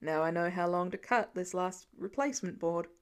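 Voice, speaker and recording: A woman voicing a gentle, wry, fatherly man in a story. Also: lowish voice, normal speed, voice pushed down, lightly acted. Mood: fatherly, easygoing.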